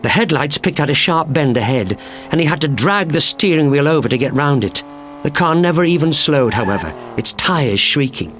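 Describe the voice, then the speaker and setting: A man's voice: fairly high pitch, lively intonation, moderate pace, fairly bright tone, soft background music. A man reading a thriller story as a dramatic, scripted audiobook narration.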